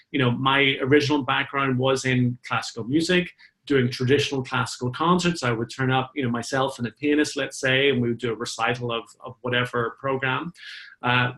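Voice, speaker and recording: A middle-aged man with a Northern Irish accent talks easily as an interview guest. His voice sits slightly high for a man. He tells stories in a friendly, rambling way, filling pauses with "uh" and "um". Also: fairly bright tone. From Northern Ireland. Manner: friendly, talkative, many fillers. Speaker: flute player and entertainer. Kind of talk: interview guest, conversational storytelling.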